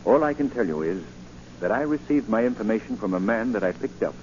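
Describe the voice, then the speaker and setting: An actor playing a composed, guarded, wealthy gentleman sleuth in 1940s radio acting style. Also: lowish voice, lively intonation, brisk, close to an everyday voice. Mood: controlled. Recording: noisy, muffled 1940 radio recording.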